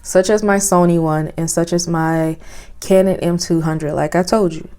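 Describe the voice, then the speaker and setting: A soft-spoken young woman, chatting casually with lots of fillers as she reviews cameras at home, recorded on a phone with a clip-on mic. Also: on the low side, steady tone, normal speed. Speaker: vlogger. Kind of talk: casual product review vlog. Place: home, indoors. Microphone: phone with clip-on lapel mic.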